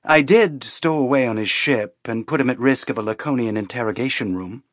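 A man voicing a calm, dignified woman on the run aboard a spaceship, measured and earnest, in an audiobook. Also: medium pitch, no room sound, clean studio sound, natural voice. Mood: measured, serious. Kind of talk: audiobook character acting.

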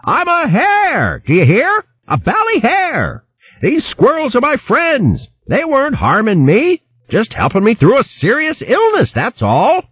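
A jolly, plummy old hare gentleman, as a male reader puts him on, high and bouncing, with a husky edge. The recording is dry and slightly muffled. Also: wildly swooping pitch, a little slow, dry recording, exaggerated voice. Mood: indignant. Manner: plummy, says "what, what".

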